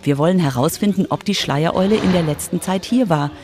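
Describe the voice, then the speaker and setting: A woman's voice: on the low side, brisk, darkish tone. A woman reporter giving scripted narration for a children's radio story.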